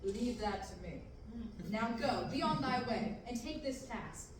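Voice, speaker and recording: A woman reading a commanding dark armored lord. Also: a little high, animated, measured pace. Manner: commanding, declaiming. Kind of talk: amateur table reading in Shakespearean verse. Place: large classroom. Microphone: far camera. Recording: echoey room from far off, background noise.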